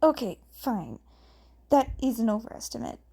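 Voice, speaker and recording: Female reader as teasing young boy, casual manner, mellow slightly high voice, audiobook dialogue. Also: big pitch swings, moderate pace, creaky, breathy edge, exaggerated voice. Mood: reassuring.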